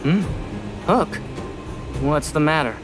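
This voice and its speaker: An actor playing an anxious, timid young camp helper, nervous and hesitant, in a video game. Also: male voice, on the high side, expressive, slow. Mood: flustered. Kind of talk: video game character acting. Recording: music behind the voice.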